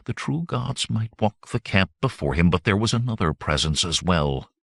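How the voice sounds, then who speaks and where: Deep, expressive male narrator. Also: normal speed, creaky, no room sound, clean studio sound. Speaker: audiobook narrator. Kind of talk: dramatic scripted narration of fantasy adventure fiction.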